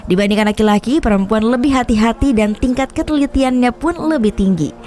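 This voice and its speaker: A woman's voice: fairly high pitch, a little fast, dark tone. A woman reading scripted narration for a news feature. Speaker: reporter and narrator. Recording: voice-over with clear background music. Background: music.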